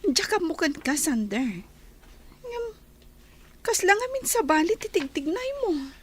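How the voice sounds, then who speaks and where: A woman playing a worried high-voiced young wife. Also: very animated, measured pace, exaggerated voice. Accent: Ilocano. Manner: pleading, earnest.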